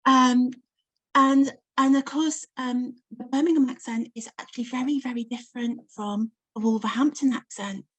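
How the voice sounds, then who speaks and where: A woman's voice: fairly high pitch, fairly even intonation, moderate pace, slightly rough. Chatty woman with a Coventry accent. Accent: Coventry English. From Coventry, England. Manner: chatty, many ums, warm laughter. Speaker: novelist guest. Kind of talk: casual interview chat.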